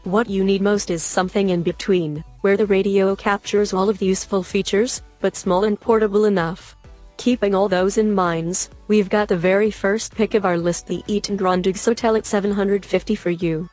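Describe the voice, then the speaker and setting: A woman's voice: medium pitch, steady tone, moderate pace, darkish tone. Woman narrating a scripted product review over music. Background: background music.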